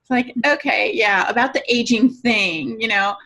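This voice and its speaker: Bright, high-voiced woman chatting. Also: steady tone, normal speed, rough recording. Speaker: podcast co-host and writer. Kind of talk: casual anecdotal chat.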